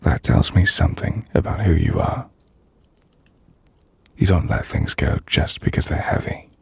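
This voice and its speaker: A man playing a melancholy, gentle half-vampire nobleman in an intimate ASMR roleplay, speaking in a hushed, breathy, formal and reflective manner. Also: expressive, no echo, full character voice. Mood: tender, admiring. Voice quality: low, gravelly, breathy. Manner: hushed, breathy, slow reflective delivery with formal, measured phrasing. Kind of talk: ASMR audio roleplay, intimate dramatic monologue.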